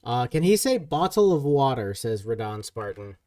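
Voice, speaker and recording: Ohio man, streamer host, upbeat chat reader, mid pitch, slightly off-mic. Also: big pitch swings, moderate pace, mellow, no room sound, clean studio sound, recorded from a distance. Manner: upbeat, greets viewers, reads chat aloud. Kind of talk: casual gaming stream chat.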